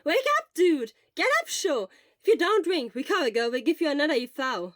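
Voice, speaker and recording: A woman voicing an excitable young man, a worried friend who scolds and exclaims in exasperation, in an audio story. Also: high-pitched, brisk, dry recording, clean studio sound, pitched above the performer's own voice. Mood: urgent.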